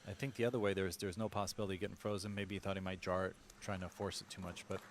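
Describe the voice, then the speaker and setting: A man's voice: low voice, flat delivery, racing, a little hiss or hum. A man commentating a pool match live, explaining shot choices and position play to viewers.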